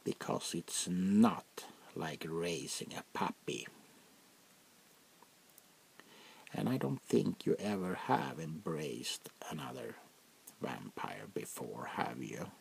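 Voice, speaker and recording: A man playing an arrogant, power-hungry vampire prince in a soft-spoken ASMR roleplay, with haughty dramatic pauses and a gravelly voice that often sinks into whispers. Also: low voice, sing-song, a little hiss or hum, heavily acted. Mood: condescending.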